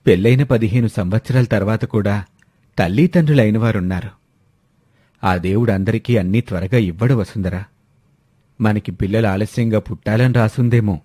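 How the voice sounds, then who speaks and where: Guy doing a kind rich village landlord in a story, calm n reassuring, dry sound. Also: fairly low pitch, moderate pace, no room sound, lightly acted. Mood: consoling. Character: kindly, patient wealthy village landlord.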